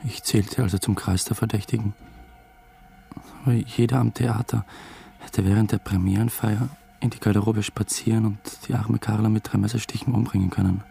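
An actor playing a young theatre assistant under suspicion of murder in a German radio drama, dry, laconic and evasive. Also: male voice, fairly low pitch, fairly even intonation, moderate pace, vocal fry, dark tone, soft background music. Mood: dry, reflective. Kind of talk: German radio drama acting and first-person narration.

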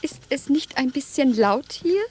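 A woman's voice: high voice, animated, measured pace, a little mellow. An actress playing a refined, teasing, self-possessed lady in a German radio play, speaking in a playful, genteel way. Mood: coaxing.

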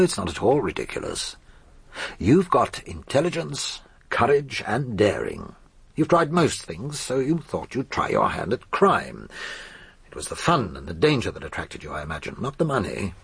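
A shrewd English police chief inspector, very husky and gravelly. He lays out his case with blunt assurance, in a lowish voice that moves freely up and down. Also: huge pitch swings, moderate pace, no room sound, exaggerated voice. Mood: knowing, accusing. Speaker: actor in a radio mystery drama. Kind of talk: British radio drama acting.

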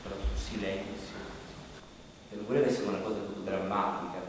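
A man's voice: sits low, little pitch movement, brisk, natural voice. Echoey, exasperated man acting on a stage. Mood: hesitant, brooding. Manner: exasperated, digressive, conversational storytelling. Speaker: stage actor. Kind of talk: theatrical monologue in Italian stage production. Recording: very echoey hall, distant mic, faint noise.

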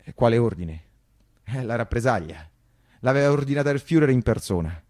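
A man's voice: medium pitch, lively intonation, a little fast, warm tone. A man with a Roman accent performing a theatrical first-person monologue in colloquial dialect, repeating phrases emphatically like a popular storyteller. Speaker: actor-narrator.

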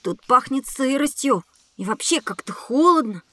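Woman voicing a bright, high-pitched inquisitive boy. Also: animated, normal speed, no room sound. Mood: curious. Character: inquisitive, excitable young boy. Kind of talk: children's audio drama acting.

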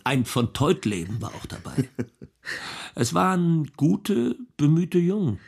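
An actor playing an earnest, high-minded male intellectual in a literary radio drama, with a lofty, declamatory and professorial way of talking. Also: lively intonation, takes it slowly, gravelly, a little mellow, slightly rough, heavily acted. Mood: fond reminiscing. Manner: lofty, declamatory, abstract phrasing.

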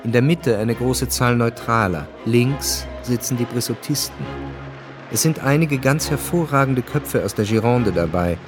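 An actor playing the sober narrator of a historical radio drama, reading scripted present-tense narration. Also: male voice, mid-range voice, measured pace, natural voice. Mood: factual. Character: sober male chronicler of historical events. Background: music.

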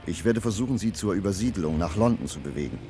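An actor playing a courteous, worried academic in a radio play, speaking in a hesitant, earnest way over some noise. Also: male voice, low voice, expressive, quick pace, dark tone, soft background music. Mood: earnest, concerned. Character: worried, courteous male academic doctor investigating disappearances.

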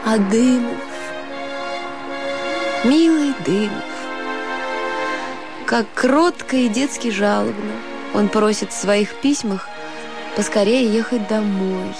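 A woman's voice: medium pitch, slow, pauses often, over music. An actress playing a wistful married woman in a radio drama, musing tenderly and longingly.

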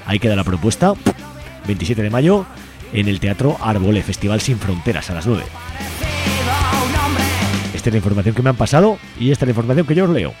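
Spanish guy hosting community radio, lowish voice, chatty and informal, music clearly playing behind him. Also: big pitch swings, a little fast, pauses often. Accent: Spain. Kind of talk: radio show announcements and sign-off over music.

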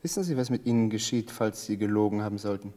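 An actor playing a commanding male officer in a German radio drama, measured and formally polite with quiet menace. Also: lowish voice, lightly acted. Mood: stern, probing. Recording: long hall reverb.

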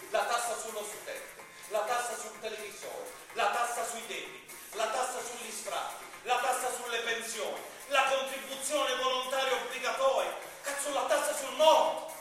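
Casting: male actor as bitter, indignant everyman in stage monologue, very high voice, rhetorical and colloquial, music behind. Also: natural voice. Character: man ranting bitterly against mafia and injustice. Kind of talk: theatrical monologue. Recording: very echoey, distant stage recording with music.

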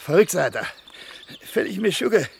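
An actor playing a stubborn, folksy old husband with a gravelly voice and dialect in a radio drama. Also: male voice, high voice, sing-song, moderate pace, heavily acted. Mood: desperate protest. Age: older. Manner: colloquial dialect grammar, repeating himself, protesting. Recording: radio play with faint ambient noise.

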